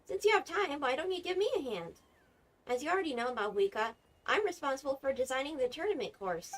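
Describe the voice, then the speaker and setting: A woman's voice: high-pitched, animated, a little fast. An actress playing an upbeat, confident female sports coach in an anime game, cheerful, breezy and encouraging. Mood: playful, inviting.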